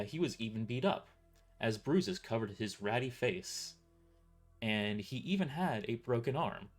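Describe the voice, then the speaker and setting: A man narrating a scripted first-person story in an informal way, stumbling and correcting himself as he reads. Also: mid-range voice. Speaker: author narrating own novel.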